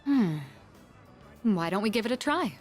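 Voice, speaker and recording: A woman playing a refined, patient young woman in a video game, composed, articulate and gently formal. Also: a little high, animated, unhurried, soft background music. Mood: pleased, composed. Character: poised, refined young woman, a patient elder-sister guide.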